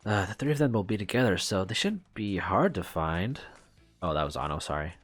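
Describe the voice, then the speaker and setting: A man's voice: sits low, lively intonation, brisk, dry recording, faint music behind. A man with a nasal, stuffed-up voice who reads a game's story aloud and chats in apologetic asides. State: nasal from a clogged nose. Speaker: game streamer reading all roles. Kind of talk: reading a visual novel aloud with asides.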